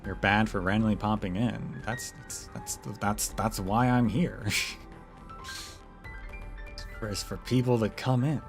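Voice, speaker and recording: A man reads a game's story to his stream, relaxed and conversational, breaking off now and then for a quick aside. His voice sits low and even. Soft music plays faintly behind him. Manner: relaxed, with chatty asides and small restarts. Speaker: streamer narrating a game. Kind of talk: casual story read-aloud to a stream.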